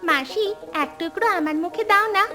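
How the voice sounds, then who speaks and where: A woman voicing a cheeky, eager little granddaughter in an animated family drama. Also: very high voice, normal speed, soft background music.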